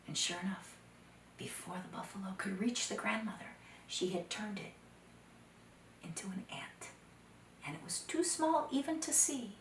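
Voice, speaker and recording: A woman telling a folktale to children, dramatic, expressive and deliberate, sometimes dropping to hushed phrases. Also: medium pitch, whispered. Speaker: storyteller. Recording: roomy echo, distant microphone.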